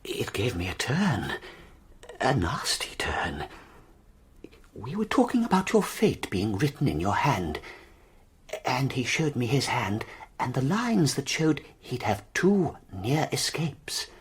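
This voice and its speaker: A nervous down on his luck ex soldier, stammery and plaintive, really husky voice, pitch all over, radio play. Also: wildly swooping pitch, takes it slowly, exaggerated voice. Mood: haunted, hushed. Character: broken, nervous war veteran, down on his luck.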